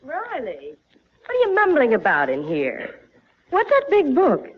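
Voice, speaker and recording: An actress playing a sensible, teasing, long-suffering housewife in a 1940s radio comedy, with a dry, chiding manner. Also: female voice, high voice, wildly swooping pitch, a little slow, exaggerated voice. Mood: weary, curious. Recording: muffled 1940s radio recording, loud audience.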